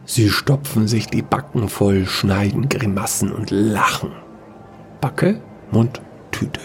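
A man narrating a sci-fi fairy-tale audio story in a measured storytelling manner. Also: lowish voice, slight background noise.

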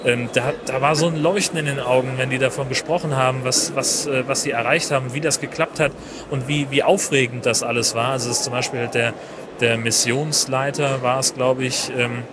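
A man hosting his podcast in a chatty, relaxed way with many fillers and restarts, recorded outdoors at a busy conference venue. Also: mid-range voice, brisk. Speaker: podcast host. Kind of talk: casual solo podcast recap. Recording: noisy outdoor background. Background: crowd and traffic ambience.

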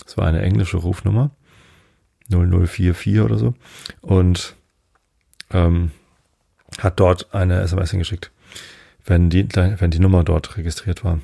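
A man from Germany, hosting a bedtime podcast in a casual, unhurried, meandering monologue, thinking aloud. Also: low voice, steady tone, gravelly, warm tone, no room sound, clean studio sound. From northern Germany. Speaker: podcast host.

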